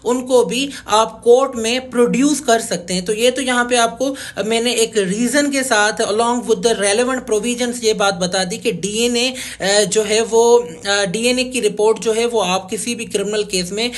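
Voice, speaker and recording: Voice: medium pitch, measured pace, hardly any pauses. Pakistani legal educator explaining in stop-start phrases. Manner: mixes in English legal terms. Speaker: legal educator, video host. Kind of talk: informal explanatory law lesson.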